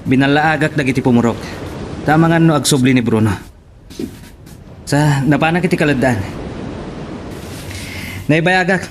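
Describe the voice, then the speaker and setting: Man acting as a menacing estate henchman, high-voiced. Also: normal speed, long pauses, background noise, close to an everyday voice. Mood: boastful, harsh. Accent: Ilocano. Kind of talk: Ilocano radio drama acting.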